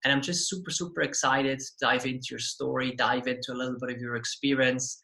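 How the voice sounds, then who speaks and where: A male podcast host with a bright, forceful voice at a middling pitch. He welcomes his guest and asks questions eagerly, often doubling his words as he talks. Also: flat delivery, a little fast, vocal fry. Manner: enthusiastic, repeats words. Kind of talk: interview hosting.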